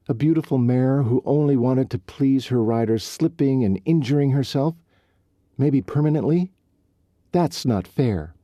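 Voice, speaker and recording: A man's voice: mid-range voice, warm tone, no room sound, studio quality, voice pushed up, lightly acted. A man voicing a fiery, sarcastic young woman rodeo rider who puts on a lilting foreign accent. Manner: sarcastic, heated.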